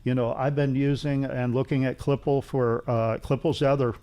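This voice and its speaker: A middle-aged man talks as a guest in a casual technical interview. His voice sits at a medium pitch, and he explains things haltingly, circling back and repeating himself as he goes. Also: steady tone, warm tone. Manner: halting, rambling explanations with repetition. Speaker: interview guest, loudspeaker engineer.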